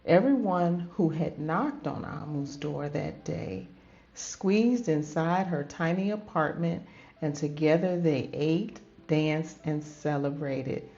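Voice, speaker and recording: A woman reading a children's story aloud as host, with dramatic, drawn-out phrasing in a grandmotherly manner. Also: low voice, dark tone. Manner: addresses listeners as babies, grandmotherly. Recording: dull lo-fi audio with music behind. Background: background music.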